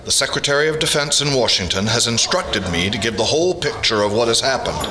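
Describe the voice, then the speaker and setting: A man playing a grave army general in a radio drama, formal, measured and authoritative. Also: mid-range voice, expressive, crowd noise, natural voice, poor audio. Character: commanding, grave army general briefing officials.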